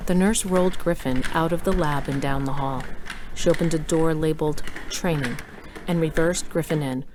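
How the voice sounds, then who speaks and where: A woman's voice: low-pitched, normal speed, noisy background, natural voice. A woman playing a resolute, stealthy mother and doctor who narrates a scripted audio drama. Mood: measured suspense. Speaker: narrator and lead actor.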